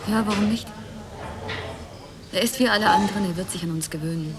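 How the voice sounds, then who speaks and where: A woman playing a cool, disillusioned, sharp-tongued woman in a radio play, with a dry, cutting delivery. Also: medium pitch, brisk, crowd noise, lightly acted. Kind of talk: German radio play acting. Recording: noisy ambient background.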